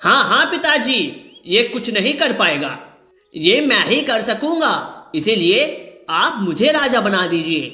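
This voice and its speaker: A man's voice: high-pitched, very animated, slow, sharp tone, roomy sound, voice pushed up, full character voice. A man playing an ambitious young prince in a cartoon, insistent and full of self-importance. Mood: boastful, insistent.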